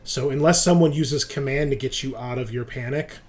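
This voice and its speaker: A man's voice: mid-range voice, brisk, a crowd in the background. A man acting as game master, explaining rules and narrating a tabletop roleplaying game in a conversational, explanatory way.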